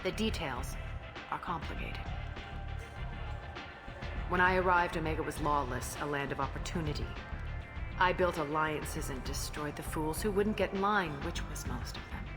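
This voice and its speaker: Low-voiced, imperious alien crime queen, acted by a woman. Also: over music, lightly acted. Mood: proud, smug. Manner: cool, haughty, deliberate. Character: imperious, smug alien female crime boss. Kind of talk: video game character acting.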